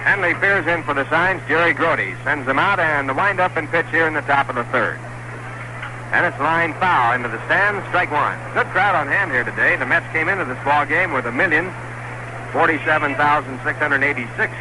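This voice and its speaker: A man doing live play-by-play for a baseball game on the radio, working statistics in between the pitches. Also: moderate pace. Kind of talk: live radio sports commentary. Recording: hissy 1960s AM radio broadcast recording.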